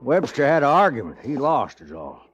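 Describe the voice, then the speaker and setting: An actor playing a plain-spoken, chatty frontier sidekick with an American accent in an old western radio drama, folksy, wry and blunt. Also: male voice, mid-range voice, very animated, normal speed, close to an everyday voice. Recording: muffled, noisy old radio recording.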